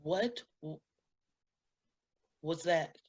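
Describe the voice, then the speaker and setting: A woman's voice: sits low, very slow, darkish tone, lo-fi sound, heavily acted. An actress in a staged play reading, playing a touchy, indignant, blunt-spoken woman. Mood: uneasy. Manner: blunt, confrontational, emphatic.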